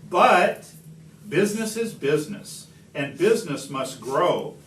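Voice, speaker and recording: A man reading a rhyming picture book aloud expressively, with deliberate, emphatic phrasing and dramatic stresses. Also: fairly high pitch, very animated, a bit bright. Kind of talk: expressive storybook read-aloud in rhyme. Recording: distant mic, echoey room, background noise.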